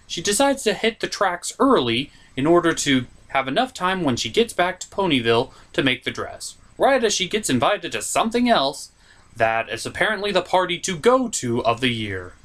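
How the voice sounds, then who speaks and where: Theatrical cartoon reviewer with swooping, animated pitch. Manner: theatrical, sarcastic, exclamatory. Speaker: reviewer host. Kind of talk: comedic scripted cartoon review.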